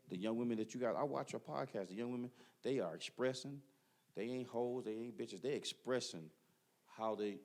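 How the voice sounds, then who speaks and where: Middle-aged guy, lowish voice, keeps repeating himself to hold the floor, casual podcast argument. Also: a little mellow. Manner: emphatic, repeats words when cut off. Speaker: podcast guest. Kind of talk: casual debate.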